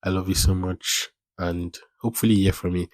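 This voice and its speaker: Low flat-voiced young man. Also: brisk, no room sound. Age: 20s. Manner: rambling, repeats phrases, informal slang. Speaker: podcast host. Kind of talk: casual solo podcast monologue.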